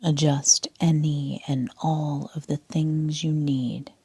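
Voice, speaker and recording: A woman leading a sleep meditation as a yoga nidra instructor, speaking in a soft, half-whispered, calm and soothing way. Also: low-pitched, takes it slowly, slightly rough, dry recording.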